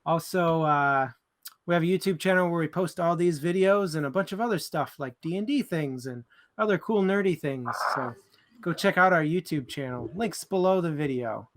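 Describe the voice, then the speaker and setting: Casual male book club co-host, higher voice. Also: moderate pace. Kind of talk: casual chat.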